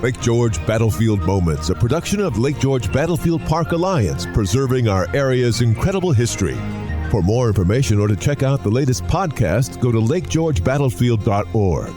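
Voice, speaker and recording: A man's voice: medium pitch, big pitch swings, normal speed. A man reading a scripted radio program outro as the announcer. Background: music.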